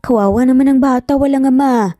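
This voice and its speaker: Woman putting on a nosy, high-pitched gossipy coworker. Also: fairly quick, dark tone, no echo, clean studio sound, lightly acted. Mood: pitying, prodding. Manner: exclaiming, prodding. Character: nosy gossipy female office coworker, teasing and pitying. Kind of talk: dramatized Tagalog story narration.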